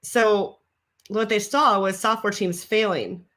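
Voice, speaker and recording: A woman's voice: medium pitch, fairly even intonation, a bit bright. A middle-aged woman with a Minnesotan accent, a guest expert explaining confidently on a video call. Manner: confident, explanatory, quick laughs. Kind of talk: casual video call chat. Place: office room, indoors. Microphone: video call webcam.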